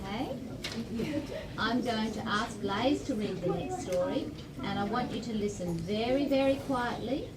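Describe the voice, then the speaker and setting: High, animated woman hosting children. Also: moderate pace, a loud crowd. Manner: simple, encouraging directions. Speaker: library storytime host. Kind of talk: instructing a group of young children. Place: indoor library event room. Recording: distant mic, loud room noise.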